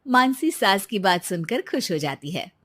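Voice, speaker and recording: A woman reading scripted storybook narration for an animated moral tale. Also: medium pitch, moderate pace.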